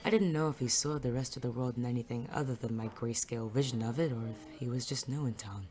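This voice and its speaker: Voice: mid-range voice, fast talker, a little mellow, soft background music, lightly acted. An actor playing a weary, cynical hard-boiled private detective in a noir audio drama, wry and sardonic. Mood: jaded, brooding. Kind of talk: 1940s noir audio drama acting and narration.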